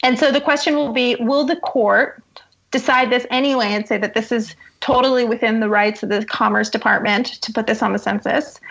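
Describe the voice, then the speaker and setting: A woman's voice: on the high side, vocal fry, a bit bright, breathy edge. A woman giving articulate, emphatic explanatory commentary as an interview guest, on a dull, lo-fi recording. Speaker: journalist guest. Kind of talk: interview commentary on law and politics.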